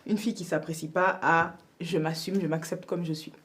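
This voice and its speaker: A woman's voice: on the low side, steady tone, normal speed. A woman hosting an interview, asking questions and giving encouraging affirmations. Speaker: interviewer host.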